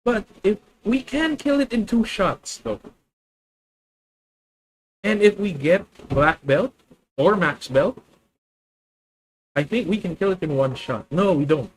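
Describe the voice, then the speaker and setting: Filipino-accented streamer thinking aloud. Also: male voice, high voice, very animated, takes it slowly, pauses often, low-quality recording. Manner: thinking aloud, self-correcting, addresses viewers. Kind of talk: live gameplay commentary.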